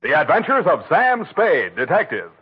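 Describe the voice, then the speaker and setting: 1940s radio announcer, sweeping pitch. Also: male voice, huge pitch swings, moderate pace, husky. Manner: booming ad-pitch delivery. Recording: tinny old radio broadcast.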